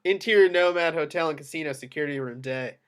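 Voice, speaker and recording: A man's voice: fairly high pitch, quick pace, a little hiss or hum. A man narrating the action lines of a screenplay at a table read.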